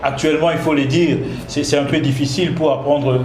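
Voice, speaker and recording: A man's voice: on the high side, steady tone, brisk, a little mellow. A man hosting a community interview show, talking in a hesitant way, repeating words and slipping in English phrases. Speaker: host. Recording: echoey room with some noise.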